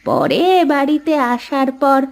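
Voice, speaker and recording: A woman voicing a kindly elderly village mother-in-law in a cartoon, speaking in a measured, soothing way. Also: high voice, very animated, vocal fry, full character voice. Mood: fond, reminiscing. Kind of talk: cartoon voice acting in Bengali family story.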